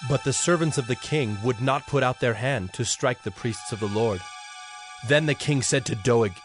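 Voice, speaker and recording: Casting: male Bible narrator, mid-pitched, formal and measured, music bed underneath.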